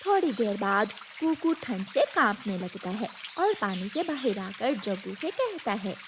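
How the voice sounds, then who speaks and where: A woman's voice: medium pitch, sing-song, measured pace. A woman reading a scripted children's story as narrator, with faint noise behind her.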